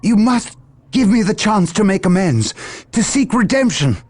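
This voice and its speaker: A man's voice: sits high, very animated, moderate pace, a little mellow. A man playing a grandiose, power-hungry traitor warrior in a video game, with theatrical delivery. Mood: broken pleading. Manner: theatrical, swinging from menace to outrage. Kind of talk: dramatic video game villain acting.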